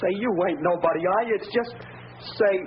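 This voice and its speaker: An actor playing a guilty, jittery gangster in a 1930s radio drama, with slangy, agitated tough talk. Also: male voice, sits high, brisk, natural voice. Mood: panicked. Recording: dull, very noisy 1930s radio recording.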